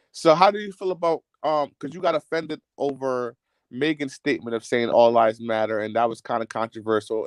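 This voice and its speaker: Fast-talking, needling man, dry sound. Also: medium pitch, no echo. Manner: fast, teasing, profane, needling others. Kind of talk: casual group chat.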